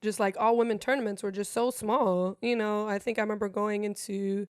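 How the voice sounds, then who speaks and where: A woman's voice: medium pitch, steady tone, brisk, smooth, no room sound. Brief: woman, English, rambling and blunt, dry close sound. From Germany. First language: German. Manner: rambling, frank, swears freely. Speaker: guest streamer. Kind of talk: casual interview chat.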